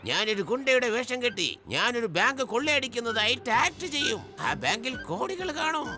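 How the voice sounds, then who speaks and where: A man's voice: very high-pitched, expressive, fairly quick. A man voicing a sly, scheming grown man in a cartoon who plots a fake robbery. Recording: cartoon soundtrack with music behind.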